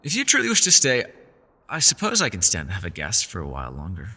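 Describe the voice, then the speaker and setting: A man's voice: deep voice, wildly swooping pitch, quick pace, pushed and bright, breathy edge, long reverb tail, full character voice. A man playing a mysterious, scholarly elf in a fantasy roleplay, with a refined, theatrical and teasing manner. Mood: firm, serious. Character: mysterious, scholarly male elf, playful yet guarded. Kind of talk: fantasy ASMR roleplay, scripted character acting.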